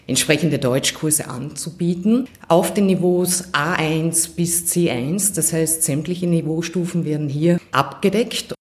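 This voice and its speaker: A woman's voice: lowish voice, darkish tone. A woman, head of a language center, explaining courses formally in an interview. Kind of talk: interview statement.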